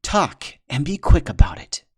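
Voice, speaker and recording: A man's voice: on the high side, big pitch swings, a little slow, husky, pitched above the performer's own voice, exaggerated voice. A man voicing a stern male warrior who speaks in curt, commanding orders.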